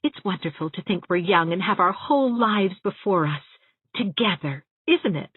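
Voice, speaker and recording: A woman voicing a spirited, affectionate young schoolteacher in an audiobook of her letters, with an expressive, whimsical delivery. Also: mid-range voice, normal speed, slightly rough. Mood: playful, tender. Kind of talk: audiobook reading of first-person letters. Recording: boxy room echo, muffled narrow-band sound.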